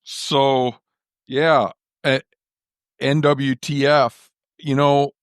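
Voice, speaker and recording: A man's voice: mid-range voice, little pitch movement, extremely slow, clean tone, no echo. A man hosting a casual podcast, thinking aloud with dry self-deprecating humor. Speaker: podcast host.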